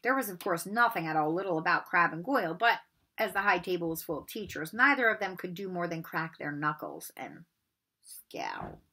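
A woman's voice: fairly low pitch, very animated, moderate pace, bright, forceful tone. A middle-aged woman, talking and reading aloud as a teacher for children at home. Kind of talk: storybook read-aloud and casual talk to pupils. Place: bedroom at home. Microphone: laptop or webcam microphone at desk distance.

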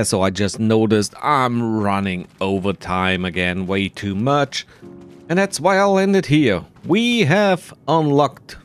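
A man plays a game and talks through it casually in an unhurried, very animated voice. He rambles and mutters asides to himself, with faint music behind him. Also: mid-range voice. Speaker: gamer narrating his playthrough.

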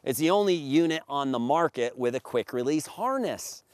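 A man's voice: on the high side, lively intonation, moderate pace. A man in his forties giving an emphatic, salesman-like walkthrough of his product outdoors in a grassy field, reading points off a sheet. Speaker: paramotor maker demonstrating his gear. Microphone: camera at a few meters, standing and gesturing.

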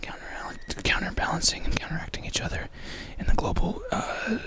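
A man whispering a casual, rambling, mock-spooky ASMR narration as he walks outdoors, recorded on a handheld shotgun mic. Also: moderate pace. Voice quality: breathy whisper. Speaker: vlogger and ASMR creator. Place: outdoors in the woods, walking. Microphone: handheld shotgun microphone.

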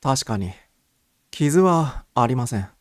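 A man voicing a reserved, nervous young gentleman who speaks formally and with restraint in an audiobook story. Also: mid-range voice, lively intonation, slow, no room sound, studio quality. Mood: tense, defensive. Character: taciturn, nervous young man under suspicion.

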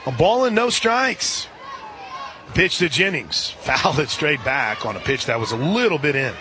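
A man doing live radio play-by-play of a softball game in a conversational way, with folksy asides. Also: fairly high pitch, sing-song, moderate pace. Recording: crowd noise and music behind. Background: ballpark crowd and music.

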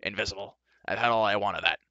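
Man putting on a loud, grumbling grumpy dwarf. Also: lowish voice, fast, dry recording, lo-fi sound. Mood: exasperated. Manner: grumbling, emphatic snorting complaints. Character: grumpy scowling male dwarf, gruff complainer.

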